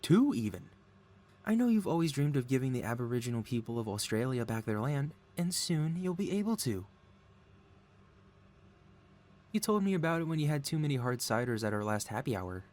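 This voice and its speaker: A man voices a mad scientist villain for an intimate ASMR roleplay. He is a mid-pitched male schemer who coaxes and flatters the listener with soothing devotion. Beneath the innocent act, he is possessive. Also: fast talker, close to an everyday voice. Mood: adoring, coaxing. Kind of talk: ASMR audio roleplay, intimate one-sided dialogue.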